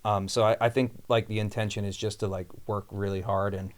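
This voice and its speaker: A man's voice: fairly low pitch, little pitch movement, a little fast. A man, a singer and guitarist guesting on a podcast, talking loosely with many fillers and restarts. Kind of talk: casual interview chat.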